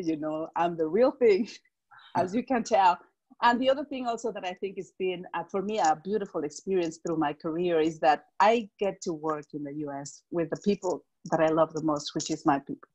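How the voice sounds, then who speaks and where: Woman, Mexican Spanish accent, middle-aged, lowish voice, enthusiastic, laughs often, video call earphone mic. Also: normal speed, a little mellow, dull audio. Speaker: direct sales executive.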